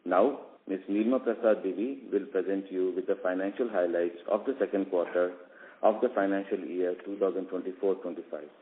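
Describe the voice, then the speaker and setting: A man with an Indian accent reads a formal, scripted business presentation in a measured way, as an executive on a company call. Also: sits low. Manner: measured, reading from prepared remarks. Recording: tinny phone line with hum and noise.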